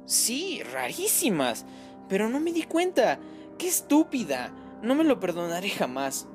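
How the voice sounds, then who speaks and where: A man's voice: high-pitched, wildly swooping pitch, a little slow, faint music behind, voice pushed up, full character voice. A reader voicing a distraught middle-aged mother who blames herself, pleading and near tears. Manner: tearful, pleading, breathless outbursts.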